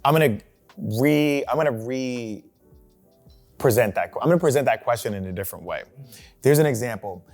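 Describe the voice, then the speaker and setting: A man hosting a talk show, posing questions to the panel in an animated way and often checking in with "right?". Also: mid-range voice, a little fast, long pauses, soft background music. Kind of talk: moderating a discussion.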